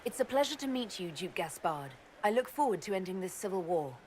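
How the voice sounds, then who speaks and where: An actress playing a composed, diplomatic heroine and leader in a video game, measured, polite and assured. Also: female voice, mid-range voice, sing-song, a little mellow, a little hiss or hum, lightly acted.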